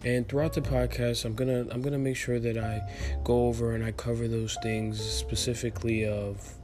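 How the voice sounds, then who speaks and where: A man's voice: mid-range voice, flat delivery, moderate pace, music in the background. A man in his twenties from the Dominican Republic, with Spanish as his first language and a light accent, talking earnestly and a bit ramblingly as a podcast host, restarting his sentences. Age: 20s. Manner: earnest, rambling, motivational, with many fillers and restarts. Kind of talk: casual solo podcast monologue.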